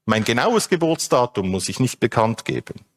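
A man's voice: mid-range voice, very animated, normal speed. A man explains a legal and technical topic step by step, with frequent hesitations. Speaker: speaker on data protection. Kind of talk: explanatory talk.

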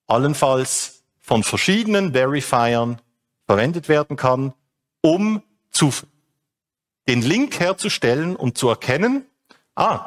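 A man explains a legal and technical topic step by step, with frequent hesitations. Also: mid-range voice. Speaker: speaker on data protection. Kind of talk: explanatory talk.

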